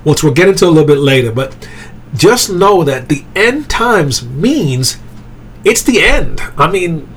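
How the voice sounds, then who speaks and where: A man's voice: mid-range voice, a little hiss or hum. Man giving a casual Bible talk. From grew up in England. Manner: conversational, checks in with "okay?" and "right?". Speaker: Bible study teacher. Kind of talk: informal Bible teaching podcast.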